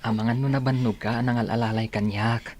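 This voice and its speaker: An actor playing a tender, solicitous boyfriend in a radio drama, gentle and caring with his sweetheart. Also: mid-range voice, fairly even intonation, brisk, noisy background, natural voice. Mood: tender, concerned. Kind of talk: Philippine radio drama acting.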